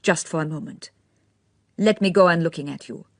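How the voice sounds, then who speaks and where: A woman's voice: deep voice, normal speed, no echo, studio quality. A woman reading the part of an affected, theatrical young man who gushes and stresses every syllable through a curious accent of his own. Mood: gushing. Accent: odd foreign-tinged accent of his own. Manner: gushing, every syllable overemphasized, speaking through a smile. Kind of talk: audiobook character reading.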